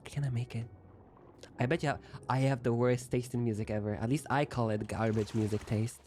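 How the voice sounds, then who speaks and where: A man's voice: mid-range voice, steady tone, moderate pace, a little mellow, slight background noise. Playful rambling young male streamer. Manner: rambling, playful, self-deprecating. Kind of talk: casual chat while gaming.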